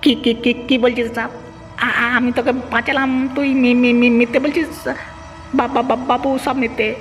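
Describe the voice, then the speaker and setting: A man's voice: very high-pitched, level tone, normal speed, a bit bright. A man voicing a scheming, greedy kidnapper in a Bengali cartoon, servile before his master and stammering when flustered. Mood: flustered panic. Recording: long hall reverb, music underneath.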